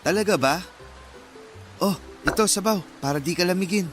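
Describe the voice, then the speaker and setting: Guy acting as a kind young filipino eatery boy, really high for a guy, polite n easygoing, radio drama w music under. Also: moderate pace, close to an everyday voice. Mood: friendly, kind. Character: friendly, generous nineteen-year-old male eatery helper.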